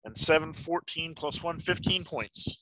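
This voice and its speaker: A man's voice: a little high, lively intonation, unhurried, pushed and bright, dull audio. A man chatting casually as a player in a tabletop role-playing game.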